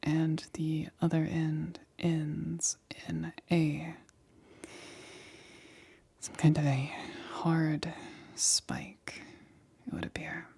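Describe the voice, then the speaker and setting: Breathy whispering, nervous female scientist acted by a woman. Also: low-pitched, flat delivery, dark tone, exaggerated voice. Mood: clinical, hesitant. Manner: hesitant, halting, nervous stammering. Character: nervous female scientist examining an alien specimen. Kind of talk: whispered ASMR roleplay.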